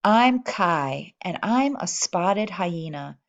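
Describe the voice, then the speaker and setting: Slow-paced woman reading a children's storybook. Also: on the low side, highs cut off. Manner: expressive, speaking as baby animals in first person. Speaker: storybook reader. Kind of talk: children's picture book read-aloud.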